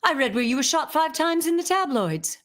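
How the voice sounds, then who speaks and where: An actress as a teasing, quick-witted wife in a 1930s radio mystery. Her voice is fairly high. She delivers her lines with wry, playful spirit. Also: big pitch swings, fairly bright tone.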